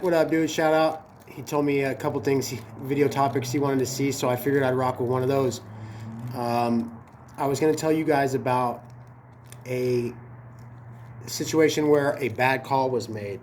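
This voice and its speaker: A man tells a story in a loose, slangy, conversational way. He has a cold, so his voice sounds a little stuffy and sniffly. His pitch sits in the middle, and there is a faint hiss in the background. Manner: laid-back slangy delivery. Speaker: host. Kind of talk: casual storytelling.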